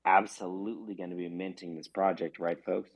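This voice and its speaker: A man's voice: low voice, level tone, brisk, no room sound. A man co-hosting a live audio call, joking and teasing the guest.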